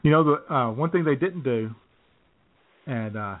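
A man's voice: mid-range voice, expressive, measured pace. Man bantering over tinny, phone-like audio. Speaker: comedy radio show co-host. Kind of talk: casual comedic banter. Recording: thin phone-like band-limited radio audio.

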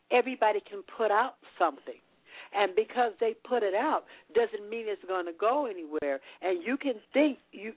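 A woman's voice: medium pitch, no echo. A woman on a radio call-in speaks emphatically and at length, like she is giving a lecture. Her voice comes over a dry, tinny phone line. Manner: emphatic, lecturing, with repeated restarts. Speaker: marketing and promotions expert guest. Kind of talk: explanatory advice on talk radio.

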